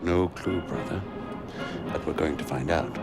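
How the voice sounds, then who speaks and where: A man's voice: extremely low pitch, fairly even intonation, measured pace, loud music behind the voice. An actor playing a determined male rebel comrade in a video game. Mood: wary, resolved.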